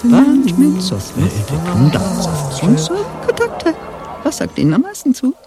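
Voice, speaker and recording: A woman's voice: lowish voice, wildly swooping pitch, normal speed, music in the background. An actress playing a blunt, impulsive executive woman in a radio play, both commanding and despairing. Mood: excited, rattling options. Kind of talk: German radio play acting.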